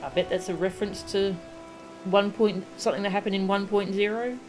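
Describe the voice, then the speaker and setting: A woman's voice: medium pitch, steady tone, fairly quick, rough recording. A woman giving casual livestream commentary as she plays a video game, with game music behind her. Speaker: game streamer reading dialogue aloud.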